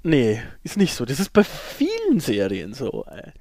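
A man's voice: medium pitch, huge pitch swings, measured pace, a little mellow, no echo. Male co-host for informal podcast banter, mid-range voice, very dry sound. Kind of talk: casual chat, ranking old cartoons.

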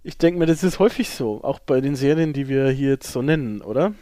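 A man's voice: mid-range voice, expressive, a little fast, warm tone, dry recording. A man chatting casually and slangily as a podcast co-host. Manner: slangy, informal. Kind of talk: casual pop-culture chat.

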